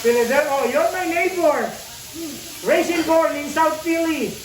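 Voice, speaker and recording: A man's voice: very high-pitched, moderate pace, noisy background. A man from the Philippines, a teppanyaki chef keeping up playful, self-laughing jokes as he cooks. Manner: playful, jokey, laughs at himself. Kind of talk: joking patter while cooking tableside. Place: busy teppanyaki restaurant on a cruise ship. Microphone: camera held by a diner across the grill. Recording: echoey, distant, loud crowd. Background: diners chatting and food sizzling.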